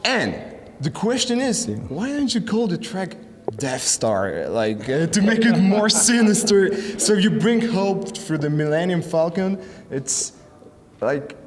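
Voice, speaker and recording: Halting man interviewing with reverb. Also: high voice, very animated, a little hiss or hum. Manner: hesitant, halting questions with many fillers. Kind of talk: casual interview. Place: outdoor parking lot at night.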